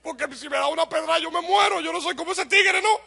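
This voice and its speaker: A man with a Dominican accent preaching a lively sermon to a congregation. He asks questions and answers them himself, and he shouts some points before dropping to a low aside. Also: fast talker, vocal fry. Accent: Dominican Spanish. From Dominican Republic. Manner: animated, shouts for emphasis, then drops to a low aside. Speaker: pastor.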